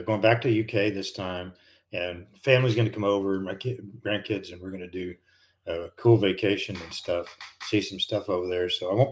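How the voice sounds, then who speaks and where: An older American man hosting a live stream, chatting casually and rambling with many fillers and restarts. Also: sits low. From United States. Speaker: livestream host, retired pilot.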